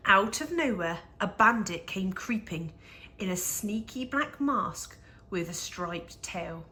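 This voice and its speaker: A woman reads a children's picture book aloud in a bright, clear-cut tone. Her pace is slow and measured. She delivers the rhyming verse expressively, leaning on key words for drama. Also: mid-range voice, a little hiss or hum. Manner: measured, animated storytelling with dramatic emphasis. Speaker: children's story reader.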